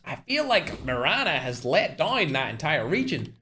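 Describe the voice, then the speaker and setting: A man's voice: fairly high pitch, normal speed, slight background noise. A young man hosting and casting a mobile game tournament on a live stream, chatting straight to his viewers. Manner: chatty, talks straight to chat, animated bursts. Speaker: tournament host and caster. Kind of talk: live-stream esports commentary.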